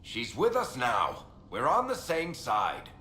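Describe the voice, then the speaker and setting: An actor playing a defensive, sarcastic rogue in a video game, speaking heatedly. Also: male voice, mid-range voice, wildly swooping pitch, takes it slowly, bright, forceful tone, full character voice. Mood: exasperated. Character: defensive, indignant roguish liar protecting a friend. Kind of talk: video game character acting. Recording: roomy echo, faint noise.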